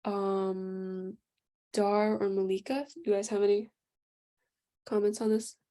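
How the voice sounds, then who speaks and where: Teenage girl moderating, soft mellow tone. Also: medium pitch, flat delivery, slow pace. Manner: casual, filler-heavy. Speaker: forum moderator. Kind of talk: informal discussion moderating.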